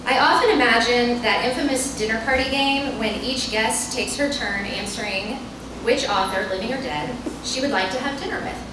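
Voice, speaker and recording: A woman's voice: on the high side, moderate pace, recorded from a distance. Wry woman reading aloud in echoey room. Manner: wry, self-deprecating, performative storytelling. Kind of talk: humorous personal essay read to a live audience. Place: bookstore reading event. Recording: echoey, noisy room, recorded from afar.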